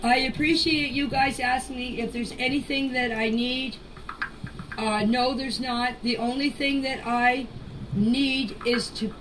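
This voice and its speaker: A mature woman giving an informal spoken statement in a deliberate, halting way, in short phrases, as a voice message. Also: high voice. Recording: distant noisy amateur voice clips.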